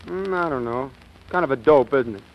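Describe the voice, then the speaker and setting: A man's voice: medium pitch, brisk, close to an everyday voice. A man acting a blunt, unimpressed teenage boy next door, brash and slangy, with an American accent, in a 1940s radio comedy. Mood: blunt, scornful. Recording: muffled, noisy old radio recording.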